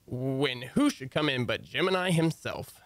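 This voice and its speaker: An actor playing a put-upon husband in a radio comedy, with a dry, sardonic way of talking. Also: male voice, medium pitch, lively intonation, unhurried, fairly bright tone, no echo. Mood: wry. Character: wry, put-upon husband narrating his domestic troubles. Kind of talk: old-time radio comedy narration and dialogue.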